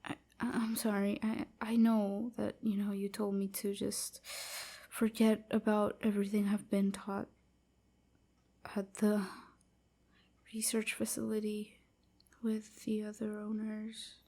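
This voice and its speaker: A woman playing a timid, submissive vampire slave in an intimate audio roleplay, hesitant and stammering, with a soft, whispery, apologetic delivery. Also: mid-range voice, completely flat delivery, a little slow. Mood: submissive, guilty. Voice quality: soft, breathy, often whispery. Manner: hesitant, stammering, hushed and breathy.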